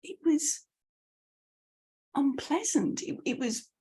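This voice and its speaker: British woman, bit higher voice, very lively pitch, coach telling anecdotes to camera. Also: slow pace. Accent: British English. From United Kingdom. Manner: animated storyteller, self-deprecating asides. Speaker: message clarity coach.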